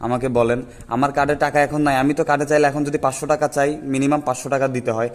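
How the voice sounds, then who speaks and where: Guy arguing on a phone call, super fast, keeps repeating himself and butting in, mid pitch. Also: little pitch movement. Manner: repetitive, interrupting, argumentative. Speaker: recipient of a scam phone call. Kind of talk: unscripted phone conversation.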